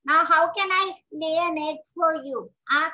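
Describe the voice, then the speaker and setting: Woman playing a little red hen, children's story, high-pitched, sharp, clucking, protesting, plucky. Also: unhurried, heavily acted. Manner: clucking, questioning, exclaiming.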